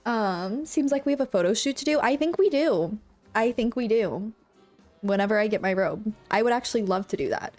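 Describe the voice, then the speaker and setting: A woman's voice: a little high, expressive, a little fast, a little mellow, faint music behind. A young woman streaming from home, chatting with her viewers. She sounds a bit congested and fills her talk with "like" and "um". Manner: bubbly, chatty, lots of "like" and "um". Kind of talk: casual chat with live chat.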